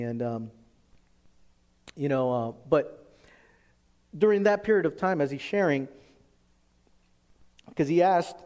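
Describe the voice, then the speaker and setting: A man, a pastor, tells a personal story in a conversational, halting sermon style, often acting out the dialogue. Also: medium pitch, darkish tone. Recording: echoey hall with long reverb.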